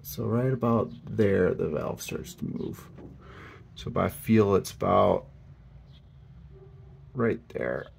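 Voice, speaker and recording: Low-voiced man in a noisy garage. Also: creaky, darkish tone. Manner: halting, thinking aloud while working. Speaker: hobbyist mechanic demonstrating a repair. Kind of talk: casual hands-on how-to narration. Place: home garage workshop, indoors.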